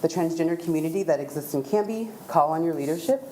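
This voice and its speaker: A woman with a loud voice giving public comment to a city council, with some hesitant fillers. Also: low voice, fast talker, echoey room, slight background noise, low-quality recording.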